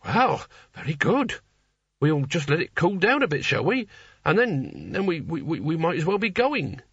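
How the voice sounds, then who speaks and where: A man's voice: high-pitched, big pitch swings, moderate pace, a bit bright, slightly rough, dry recording, lo-fi sound, pitched above the performer's own voice, heavily acted. A man voicing an earnest, polite young would-be barbarian hero in an audiobook, eager and a little tentative. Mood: strained self-control. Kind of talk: audiobook character dialogue.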